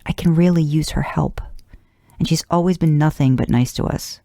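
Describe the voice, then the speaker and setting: Woman voicing an earnest, soft-spoken man. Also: low-pitched, moderate pace, dry recording, studio quality. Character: earnest, considerate man, gently chiding his brother.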